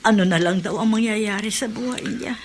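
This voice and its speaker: A loving, anxious middle-aged mother played by an actress, speaking at a medium pitch. It is heartfelt radio drama with faint noise behind. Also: a little fast, natural voice. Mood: worried. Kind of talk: Filipino radio drama acting.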